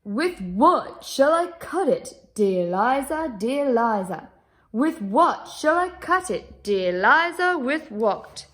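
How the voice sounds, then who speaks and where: A woman voicing a hapless, helpless man from a children's storybook, with plaintive, drawn-out sing-song questions. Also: high voice, very animated, fairly bright tone, full character voice. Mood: puzzled, plaintive.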